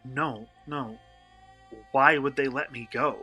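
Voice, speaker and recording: A man acting in a radio play as a shaken, confused, distrustful abduction victim who speaks haltingly and stammers. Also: medium pitch, fairly even intonation, bright, forceful tone, slightly rough, soft background music, full character voice. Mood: uneasy.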